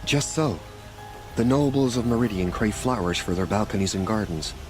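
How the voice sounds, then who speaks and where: An actor playing a romantic former adventurer in a video game, speaking in a flowery, poetic, theatrical way. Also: male voice, fairly low pitch, animated, moderate pace, over music, lightly acted. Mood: proud.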